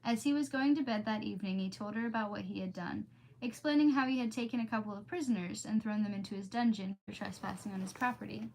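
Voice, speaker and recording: A woman's voice: mid-range voice, fast talker, darkish tone, poor audio. A woman livestreaming in a chatty, informal, easily sidetracked way as she reads aloud and talks to viewers. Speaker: livestream host reading aloud. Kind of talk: casual livestream chat and storybook read-aloud.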